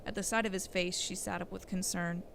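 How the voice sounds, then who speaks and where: A woman's voice: lowish voice, fairly even intonation, fairly quick, vocal fry. A woman reading scripted storybook narration for an audio drama, on a noisy recording.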